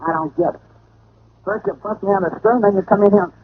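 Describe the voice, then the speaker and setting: Nervous whiny crook, high creaky voice, pleading, jittery, old radio drama, noisy narrow recording. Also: male voice, a little fast. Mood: bewildered. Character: nervous, whiny small-time crook caught red-handed. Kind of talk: 1940s radio detective drama acting.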